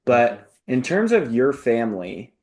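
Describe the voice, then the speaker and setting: A man's voice: mid-range voice, very animated, measured pace, darkish tone. A man hosting an interview in a casual, upbeat, encouraging and buddy-like way. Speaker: interview host and application coach.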